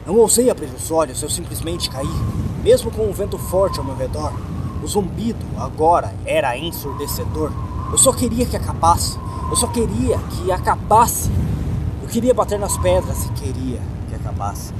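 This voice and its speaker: A Brazilian man reading a horror story in a dramatic first-person narration, with deliberate, suspenseful phrasing. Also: a little high, heavy background noise. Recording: music behind the voice. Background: background music.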